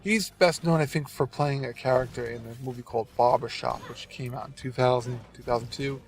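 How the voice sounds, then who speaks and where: A man's voice: mid-range voice, fairly even intonation, brisk, creaky. A man with a cold, sounding nasal and congested, rambling casually and apologetically while he drives. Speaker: gaming video host. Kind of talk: casual rambling commentary over gameplay. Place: in a car while driving.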